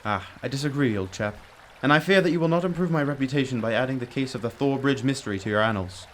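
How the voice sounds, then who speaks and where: A man's voice: medium pitch, quick pace, a bit bright, slight background noise, natural voice. A man playing a brilliant, commanding detective in a radio drama, explaining emphatically with old-fashioned courtesy. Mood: self-reproachful. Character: brilliant, commanding, self-critical male detective.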